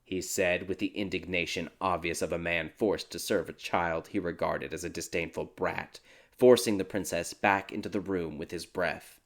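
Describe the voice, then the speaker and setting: A man narrating a dark fairy tale audiobook in a dramatic, scripted storytelling style. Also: sits low, steady tone, normal speed. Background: background music.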